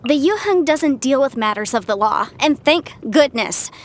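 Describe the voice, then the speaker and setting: A woman's voice: sits high, moderate pace. A woman voicing a confident, chatty young female legal adviser in a video game, articulate and slightly bookish. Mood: determined. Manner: articulate, expressive, self-assured, slightly bookish. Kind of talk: scripted game character voice lines.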